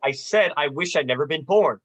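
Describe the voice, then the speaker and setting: A young performer playing a despairing, sardonic family man in a radio play, with halting, dry delivery. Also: mid-range voice, lively intonation, close to an everyday voice. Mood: bitter despair. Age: teens or young adult. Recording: dull, lo-fi video call audio.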